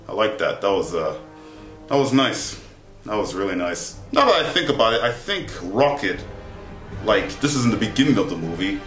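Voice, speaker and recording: A young man talking casually to camera in an animated, rambling, exclamatory way, as a vlogger giving a review. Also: fairly low pitch. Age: young adult. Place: small indoor bedroom with bunk beds. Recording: echoey room, music behind. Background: music.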